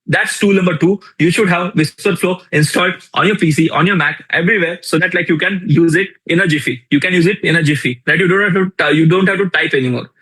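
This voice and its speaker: A young man with an Indian accent teaches a live workshop with a lot of energy. He repeats his key lines and keeps asking the audience questions. His voice sits slightly high and has a husky edge. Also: fast, few pauses, sharp tone, low-quality recording. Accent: Indian English. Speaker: workshop instructor. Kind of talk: live online tool demonstration.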